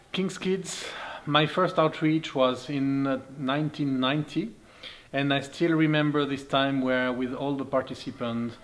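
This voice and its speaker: An earnest man from Switzerland in his forties, speaking as his in a steady, mid-pitched voice. He speaks as a youth ministry leader, sharing a testimony and encouragement. His phrasing is careful, with occasional turns.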